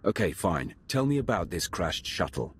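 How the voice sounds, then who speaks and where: An actor playing a commanding, sardonic Sith lord in a game, with a husky voice and a blunt, imperious manner. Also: male voice, sits low, big pitch swings, normal speed, fairly bright tone, slight background noise. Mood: brisk command.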